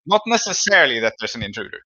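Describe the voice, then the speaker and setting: A man acts as game master for a tabletop session. His voice is very bright and sharp at a middle pitch. He pieces descriptions together as he goes, and the recording is slightly dull. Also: sing-song, rapid-fire. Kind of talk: narrating an improvised tabletop game.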